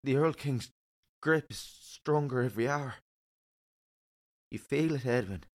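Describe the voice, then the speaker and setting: An actor playing a wounded Saxon fighter in an audio drama, bleak, haunted and resigned to defeat. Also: male voice, mid-range voice, unhurried, lightly acted. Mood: weary resignation.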